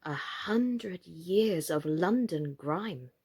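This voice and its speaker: A woman's voice: on the low side, expressive, drawn-out words, darkish tone, slightly rough, exaggerated voice. A firm mother doll in a children's storybook, voiced by a woman reader. She is watchful and insistent, and takes her time over each word. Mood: grim.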